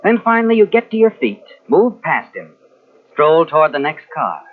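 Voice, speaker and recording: An actor playing a knowing, insinuating narrator in a 1940s radio suspense drama, speaking dramatically as if taunting the guilty hero. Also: male voice, a little high, sing-song, moderate pace. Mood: suspenseful. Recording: tinny old radio recording from cassette.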